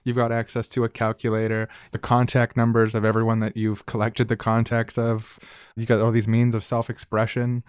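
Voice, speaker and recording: A man's voice: mid-range voice, completely flat delivery, moderate pace, clear, resonant tone, no echo. A man co-hosting a podcast, talking casually and comically in wry, wordy explanations. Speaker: podcast co-host. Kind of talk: casual comedic discussion.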